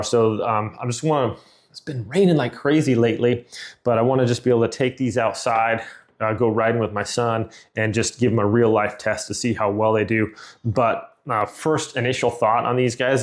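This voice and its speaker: A middle-aged man giving an informal, rambling product review in a conversational way. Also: mid-range voice. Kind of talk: casual unboxing and review chat.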